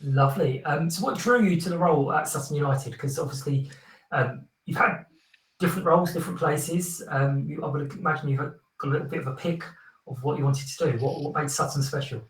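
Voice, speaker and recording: A man's voice: a little high, brisk, roomy sound, distant mic, slight background noise, rough recording. A man hosting a casual podcast, chatty and friendly, laughing often between ums. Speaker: podcast host.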